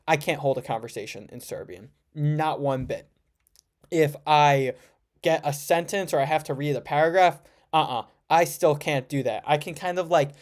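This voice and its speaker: A man's voice: a little high, darkish tone. A man of about twenty with an American accent, chatting informally on a livestream and often correcting himself as he rambles. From United States. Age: around 20. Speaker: livestream host, student. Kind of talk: casual livestream chat answering viewer questions.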